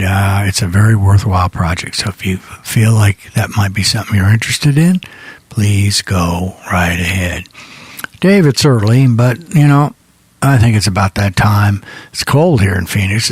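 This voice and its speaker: A very husky man hosts a music radio show from a studio. His voice sits low and he talks in a folksy, rambling, unhurried way. The sound is close and dry. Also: no echo. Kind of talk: casual music radio hosting.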